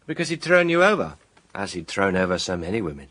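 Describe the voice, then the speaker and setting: A man's voice: low voice, sing-song, fast, fairly bright tone, heavily acted. An actor playing a self-assured, stern man laying out a crime, theatrical and accusatory in a radio drama style. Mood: methodical, confident.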